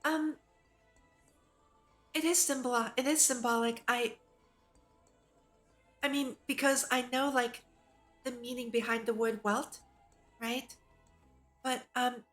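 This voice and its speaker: A woman with a fairly high voice reads a visual novel on a livestream. She shifts between narrating the text and chatting about it like an eager fan. Faint music plays behind her. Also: fairly bright tone. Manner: enthusiastic, giggly fan reactions between read passages. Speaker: VTuber streamer. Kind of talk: reading a visual novel aloud with casual live commentary.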